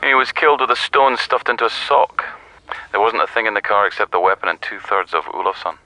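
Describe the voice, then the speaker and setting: An actor playing a blunt, matter-of-fact police detective on a call in a radio drama. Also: male voice, lowish voice, lively intonation, a little fast, sharp tone, heavily acted, poor audio. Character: blunt male provincial police detective on telephone.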